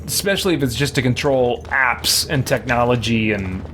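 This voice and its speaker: A man hosting a game stream, chatting casually and answering viewers in a halting, think-aloud way. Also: mid-range voice, animated. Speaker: video game livestream host. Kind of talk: casual gameplay chat, answering viewer questions. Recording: clearly noisy background.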